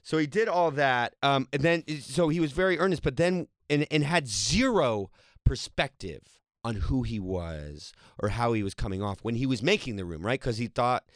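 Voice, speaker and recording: Man, mid pitch, casual rambling storyteller, dry close mic. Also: normal speed, dry recording. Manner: rambling, animated storytelling with laughs. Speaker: actor and director. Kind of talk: casual interview talk.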